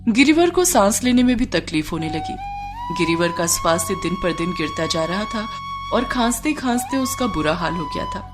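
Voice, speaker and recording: A woman's voice: mid-range voice, sing-song, normal speed, over music. A woman narrating a scripted moral story.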